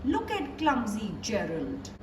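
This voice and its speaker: Woman as sneering jungle animals, drawn-out taunts. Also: on the high side, wildly swooping pitch, exaggerated voice. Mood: sneering, mocking. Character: mocking jungle animals jeering at a clumsy giraffe. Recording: roomy, distant mic, clearly noisy background.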